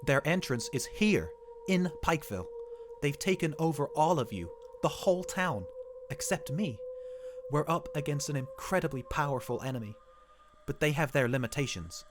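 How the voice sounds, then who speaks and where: A man's voice: on the high side, expressive, normal speed, noisy background, pitched above the performer's own voice, close to an everyday voice. A man voicing a paranoid, hunted husband who gives urgent, clipped orders to his wife.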